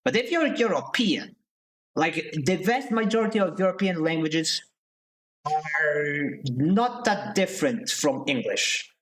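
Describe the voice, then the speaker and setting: A young man, his voice sitting a little on the high side. He streams casually and gets fired up, swearing freely and stumbling over restarts as he rants. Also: animated, measured pace, a bit bright, low-quality recording. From Slovakia. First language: Slovak. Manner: heated ranting with profanity and restarts. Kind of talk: casual live-stream commentary.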